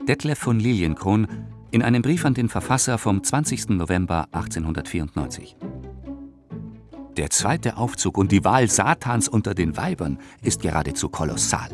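A man giving a theatrical, deliberate reading of letters and quotations for a radio play. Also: lowish voice, lively intonation. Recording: dry studio radio production with music.

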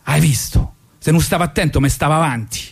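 A man voicing a crude, ranting Roman driver with a loud, scornful Roman accent. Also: a little high, a little fast, vocal fry, breathy edge. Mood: indignant.

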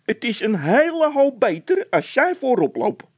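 A man voicing a squeaky, fretful sidekick in a children's audiobook, stubborn and anxious. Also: sing-song, measured pace, breathy edge, heavily acted. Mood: insistent.

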